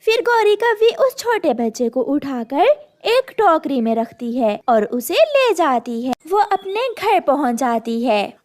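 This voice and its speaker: Lively woman narrating a cartoon story. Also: high voice, slow, few pauses.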